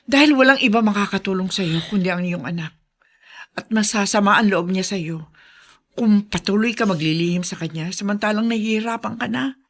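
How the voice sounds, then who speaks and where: A woman's voice: medium pitch, brisk, a bit bright. A well-meaning woman confidante in a Filipino radio drama. Her mid-range voice is earnest and full of concern, delivered with open emotion. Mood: guilty, earnest.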